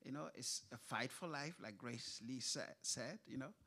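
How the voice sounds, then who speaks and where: Panel speaker, man, thoughtful halting delivery, lots of ums, live event stage. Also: mid-range voice, sharp tone, slight background noise. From grew up in Kuwait. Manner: hesitant, many fillers and restarts. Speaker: writer on a literature panel. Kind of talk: panel discussion.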